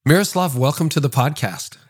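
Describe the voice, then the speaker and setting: A man's voice: on the high side, animated, brisk, slightly rough. Man hosting a podcast. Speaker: podcast host. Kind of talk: podcast interview and scripted sponsor reads.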